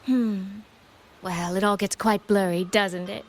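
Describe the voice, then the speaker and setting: A woman playing a composed, secretive young elder sister in a video game, speaking in a measured, playfully teasing way. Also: medium pitch, fairly even intonation, lightly acted. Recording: music behind the voice.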